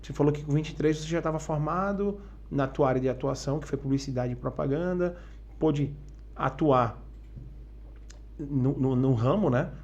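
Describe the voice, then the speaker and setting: A man's voice: a little high, moderate pace, darkish tone, highs cut off. Male podcast host piling on questions. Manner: rambling, stacks several questions at once. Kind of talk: interview questions.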